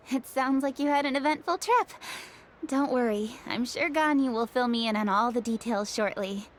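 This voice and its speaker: A woman voicing a composed, gracious young woman in a video game, speaking in a polite, gentle, formal manner. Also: a little high, normal speed, slight background noise, natural voice. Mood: amused, reassuring. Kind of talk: animated video game voice acting.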